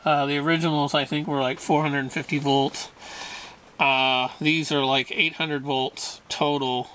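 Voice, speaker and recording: Male hobbyist, unhurried and matter-of-fact, bright-edged voice, informal demo narration over some background noise. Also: on the high side, flat delivery, clear, resonant tone, rough recording. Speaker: hobbyist radio restorer. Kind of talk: informal hands-on demonstration narration.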